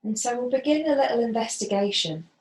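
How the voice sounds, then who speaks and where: A woman gently guiding a body scan as a yoga facilitator, unhurried and leaving pauses. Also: fairly high pitch, lively intonation, slight background noise. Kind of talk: guided body scan meditation instruction. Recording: roomy, echoing voice.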